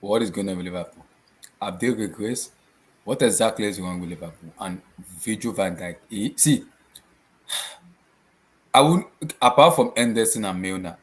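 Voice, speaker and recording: Low-voiced man hosting football talk. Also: low-quality recording. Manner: questioning, animated. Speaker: host. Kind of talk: casual football chat.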